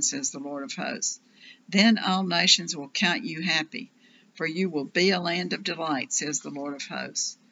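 A woman giving a solemn, scripted scripture reading in a measured, declarative manner. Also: sits low, vocal fry, pushed and bright. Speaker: scripture reader. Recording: dull lo-fi audio, faint noise.

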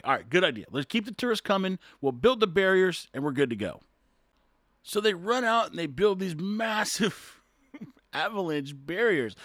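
A man's voice: high-pitched, fairly bright tone, dry recording, clean studio sound. A man talking casually on his own and reading an article aloud, chuckling often, rambling and tripping over words.

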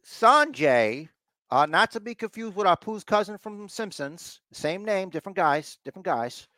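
A man's voice: sits high, animated, normal speed, sharp tone, dry recording. A man hosting a podcast, giving a casual, rambling recap with mock exasperation and repeated phrases for emphasis. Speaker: podcast host recapping wrestling.

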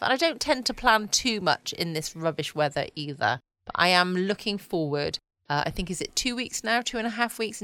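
Female podcast host, lowish voice, close dry sound, casual rambling bedtime chatter. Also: fairly bright tone, no echo. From United Kingdom. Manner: rambling, musing to herself.

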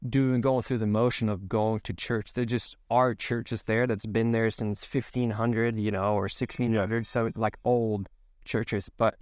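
A man's voice: lowish voice, flat delivery, measured pace, a little mellow, smooth, dry recording, studio quality. A man in his thirties from Sweden with a Swedish accent, speaking English, reflecting as a podcast guest with many fillers and restarts. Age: 30s. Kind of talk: casual podcast interview.

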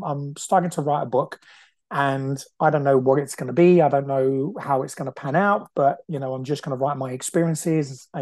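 Man in his forties, from Brazil, Portuguese first language, mellow warm tone, dry close sound, earnest casual podcast talk. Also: on the high side, no echo. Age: 40s. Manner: earnest, repeats phrases, frequent "you know". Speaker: podcast host. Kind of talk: casual reflective monologue. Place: at home on the sofa.

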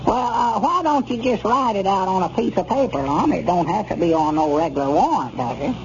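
An old backwoods Arkansas man, high in pitch, in a vintage radio comedy. He is excitable and folksy, a simple fellow keen to get even. The sound is dull and lightly noisy. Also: brisk. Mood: doubtful. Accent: rural Arkansas. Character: excitable, gleefully vengeful old country storekeeper. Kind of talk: 1930s radio comedy acting. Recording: muffled, noisy 1930s radio recording.

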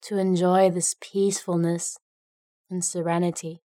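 A woman narrating a guided meditation in a gentle, unhurried way, leaving long pauses between phrases. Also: lowish voice, little pitch movement, no room sound, clean studio sound.

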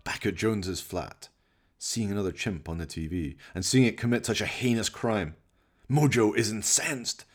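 Low-voiced man narrating. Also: normal speed, breathy edge. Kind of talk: dramatic scripted narration of a radio play.

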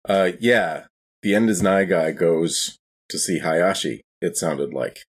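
A man's voice: low voice, slow, breathy edge. A man playing a tabletop role-playing game, talking casually and a little hesitantly in character.

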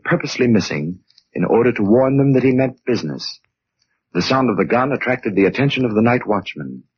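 Brief: male American narrator, low husky voice, vintage crime-show storytelling, muffled old broadcast recording. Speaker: radio drama narrator. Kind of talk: scripted 1940s radio mystery narration. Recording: muffled 1940s radio recording.

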